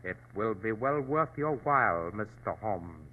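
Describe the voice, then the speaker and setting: An actor playing a dignified, ruthless provincial governor from China, courtly and formal, in 1940s radio drama style. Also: male voice, fairly low pitch, animated, a little slow, husky. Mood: determined. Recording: thin, narrow-band old radio recording.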